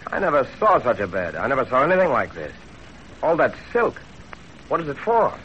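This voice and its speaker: A blunt, lively young peasant rebel. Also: male voice, medium pitch, fairly quick. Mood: wondering, blunt. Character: blunt young peasant-born male revolutionary leader, proud, wondering. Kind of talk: 1930s radio drama acting. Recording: muffled, faintly noisy 1930s radio broadcast.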